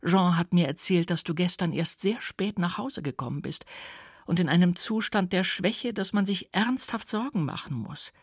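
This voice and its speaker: Man voicing a worried elderly mother, raised pitch. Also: normal speed, breathy edge, no room sound, studio quality. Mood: tender worry. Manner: gentle, anxious, fussing. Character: tender, worried silver-haired mother of a grown son. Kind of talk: audiobook character voice.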